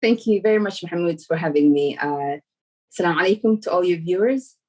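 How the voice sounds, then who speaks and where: Earnest, low-voiced woman from Somalia. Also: expressive, a little fast, low-quality recording. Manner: earnest and reflective, with soft "um" fillers. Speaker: guest. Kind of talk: casual interview answers.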